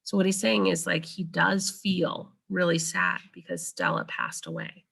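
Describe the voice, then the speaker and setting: A woman's voice: fairly low pitch, wildly swooping pitch, a little slow, vocal fry, a bit bright, breathy edge. A woman reading a children's novel aloud as a storyteller. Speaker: read-aloud reader.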